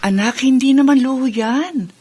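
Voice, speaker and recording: A woman's voice: high-pitched, normal speed, mellow, slightly rough. A woman with a Filipino accent acting a fretful, disapproving middle-aged mother of a grown son in a radio drama. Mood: nagging concern.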